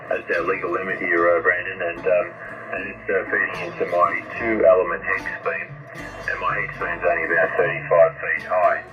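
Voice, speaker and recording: Muffled, distant radio voice, low-pitched Australian man. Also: background noise. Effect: shortwave radio transmission. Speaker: amateur radio operator. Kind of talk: casual radio contact chat. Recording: muffled band-limited distant ham radio signal.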